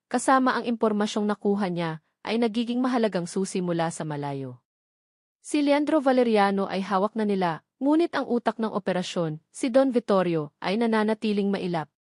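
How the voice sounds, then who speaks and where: A woman's voice: medium pitch, darkish tone, dry recording, studio quality. A woman with a Filipino accent reading scripted story narration for an audio drama.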